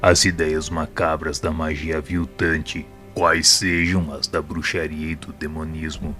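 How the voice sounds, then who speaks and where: Man as wise spirit guide, extremely low voice, solemn, measured, didactic, audio drama, music behind. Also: little pitch movement, pushed and bright, full character voice. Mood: grave, instructive. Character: wise, solemn male spirit mentor explaining hell and mercy. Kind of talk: audio drama teaching monologue.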